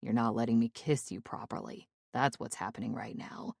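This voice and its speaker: A woman doing a gravelly, remorseful young man. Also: normal speed, a little mellow, dry recording, studio quality, exaggerated voice. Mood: pleading tender. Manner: low, pleading, apologetic. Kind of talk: audiobook character voice.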